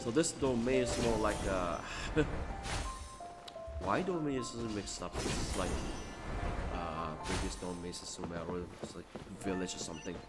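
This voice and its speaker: A man's voice: low-pitched, normal speed, over loud music. A man giving casual commentary as he streams a video game.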